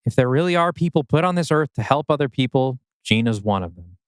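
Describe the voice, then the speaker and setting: A man acts out a troubled father in his thirties in an audio drama reading. His delivery is animated and intense, pressing with bitter, pointed questions in a close, dry recording. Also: medium pitch, fast, clean tone, dry recording, lightly acted. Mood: bitter, accusing. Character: anguished 39-year-old father, bitter and accusing.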